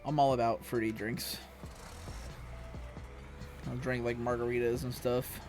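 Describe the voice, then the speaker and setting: A young man with a hoarse, scratchy voice, chatting casually with viewers during a live stream at home. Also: medium pitch, level tone, measured pace, darkish tone. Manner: laid-back, chatty, talks to viewers. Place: room at home. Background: music playing.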